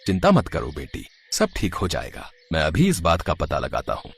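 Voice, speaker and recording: A man's voice: sits low, wildly swooping pitch, moderate pace, creaky, breathy edge, exaggerated voice. A man voicing a kindly, wise old holy man in a moral story, solemn and full of blessings. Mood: reassuring.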